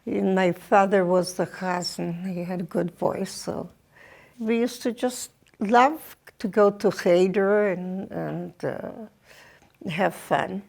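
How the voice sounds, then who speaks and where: Older woman, 80s, from Poland; gravelly, slow, gentle memoir. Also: mid-range voice. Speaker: Holocaust survivor interviewee. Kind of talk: recounting childhood memories. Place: indoor interview set with photo wall.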